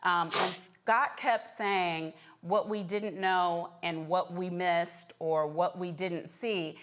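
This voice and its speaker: A woman's voice: fairly low pitch, fairly even intonation, slow pace, a bit bright. An American woman leading a political panel discussion with emphatic, pointed delivery. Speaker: panel host.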